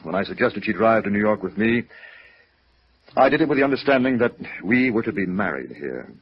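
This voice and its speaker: An actor playing a genteel, evasive company president in a 1950s radio drama, formal and hesitant, on a vintage recording. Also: male voice, lowish voice, expressive, fairly quick, slightly rough, dull audio. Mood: polite, guarded. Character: genteel, evasive, wistful male brokerage president.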